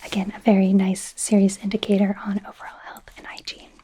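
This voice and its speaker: A woman voicing an awkward, gentle werewolf doctor in a hesitant, breathy whisper for an ASMR roleplay. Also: mid-range voice, completely flat delivery, slight background noise, close to an everyday voice. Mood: gently clinical. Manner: hesitant, halting, softly reassuring. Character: awkward, curious young female werewolf doctor, gentle and apologetic. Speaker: ASMR performer.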